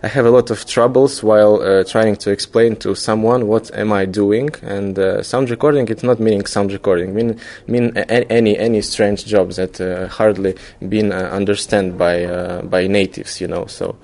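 A man from Ukraine with a Ukrainian accent, a filmmaker being interviewed, searching for words haltingly in his non-native English. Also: fairly low pitch, fairly even intonation, a little mellow. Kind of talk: conversational interview.

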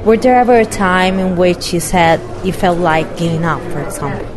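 A woman interviewer asking measured, prepared questions about a film. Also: fairly low pitch. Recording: crowd noise behind.

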